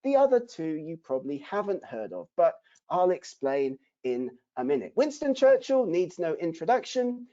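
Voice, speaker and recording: A man's voice: on the high side, mellow. A man teaching academic writing in a webinar, speaking emphatically and asking the audience rhetorical questions. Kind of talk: webinar lesson. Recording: dull lo-fi webinar audio.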